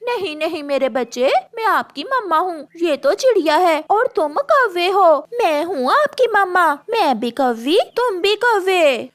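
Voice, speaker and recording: A flighty cartoon mother crow, acted by a woman, talks in a high, expressive voice. She wheedles and makes excuses, evasive and pleading, with bouncy intonation. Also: measured pace, few pauses, heavily acted. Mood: insistent. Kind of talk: cartoon character acting.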